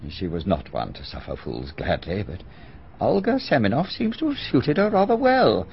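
A dry old family solicitor. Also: male voice, medium pitch, huge pitch swings, vocal fry, a little hiss or hum. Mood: measured. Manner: measured, formal, slightly pompous. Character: elderly male family solicitor, dry and measured. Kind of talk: BBC radio drama acting. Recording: dull archival radio recording.

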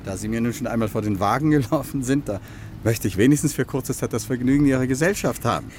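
An actor in a German radio drama playing a solicitous gentleman motorist, courteous, coaxing and insistent. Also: mid-range voice, fast, close to an everyday voice. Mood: soothing, insistent. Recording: street traffic noise behind the voice. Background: car and street sounds.

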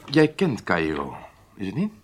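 A spy chief in a radio play. His voice is fairly low with lively swings, and he speaks with deliberate authority. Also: husky, exaggerated voice. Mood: businesslike. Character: weighty, deliberate Israeli secret service chief. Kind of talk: radio drama acting.

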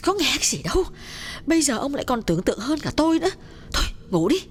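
A man voicing a grumbling, sharp-tongued middle-aged wife who scolds her husband in quick bursts. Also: very high-pitched, sing-song, pitched above the performer's own voice. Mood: scolding. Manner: scolding, rapid, exasperated nagging.

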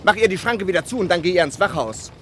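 An actor playing a lieutenant commanding a city gate guard in a radio play, with a brisk, commanding manner. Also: male voice, high-pitched, expressive, fairly bright tone, a little hiss or hum.

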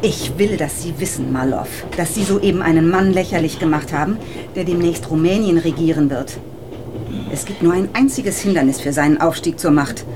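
Radio drama villainess female actor: menacing operative, icy threats in a low register over train rumble. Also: normal speed, lightly acted. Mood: vindictive. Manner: cold, threatening. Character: ruthless, menacing female Romanian operative, eager to hurt. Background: train noise.

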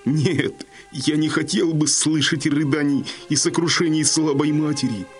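An actor as a slow, anguished dying young Cossack. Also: male voice, fairly high pitch, faint music behind, natural voice. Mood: resigned longing. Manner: halting, anguished, declamatory. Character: condemned young Cossack son, dying, longing for his father. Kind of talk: Russian audio drama acting.

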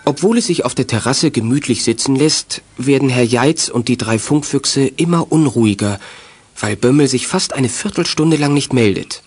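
A man narrating a scripted children's audio drama in a measured, suspenseful storyteller's way. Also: mid-range voice, slightly rough. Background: music.

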